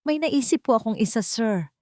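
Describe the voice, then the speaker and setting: Professional female assistant voiced by a woman narrator, calm and respectful, radio drama, close dry recording. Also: on the high side, normal speed, no echo, pitched above the performer's own voice, natural voice. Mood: polite, careful.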